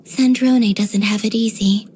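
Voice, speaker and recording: A woman voicing a dreamy, serenely detached young woman in a video game, speaking gently and softly with whispery, breathy endings. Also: fairly high pitch, steady tone, measured pace, slight background noise, lightly acted. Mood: innocently curious. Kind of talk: scripted game character voice lines.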